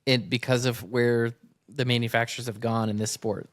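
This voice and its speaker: A man's voice: medium pitch, level tone, moderate pace, no echo, studio quality. An American man co-hosting a sports podcast, explaining his view in a casual, analytical style. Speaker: podcast co-host.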